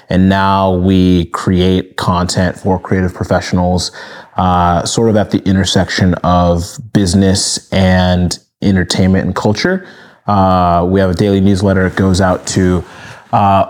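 A man in his thirties from Nigeria, raised in Minnesota, answering interview questions in a relaxed, steady storytelling way. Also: deep voice. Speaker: guest, media brand co-founder.

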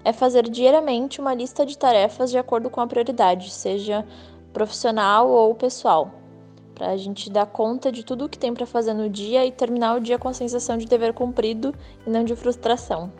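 A woman in her twenties with a fairly high voice gives practical advice. Music plays quietly under her. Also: fairly even intonation, moderate pace. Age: 20s. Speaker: interviewee. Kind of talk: short testimonial.

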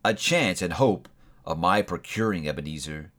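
A man's voice: deep voice, animated, fairly bright tone, pitched below the performer's own voice. A man voicing a mournful old ghost in chains. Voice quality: slow, heavy, mournful delivery. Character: doomed old male ghost, solemn and grieving.

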